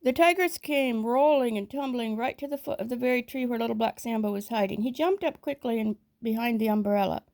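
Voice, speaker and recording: Slightly high-voiced, creaky woman reading a storybook. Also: brisk, few pauses, a little mellow, no echo. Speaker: storybook reader. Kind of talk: children's story read-aloud.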